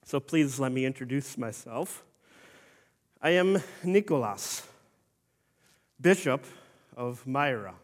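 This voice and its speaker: Old humble bishop, stern underneath, slow and formal, played by a man in a church monologue, echoing hall. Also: medium pitch, expressive. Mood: solemn. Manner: slow, solemn storytelling with formal phrasing. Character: Saint Nicholas, elderly bishop, humble and stern. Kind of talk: first-person dramatic monologue.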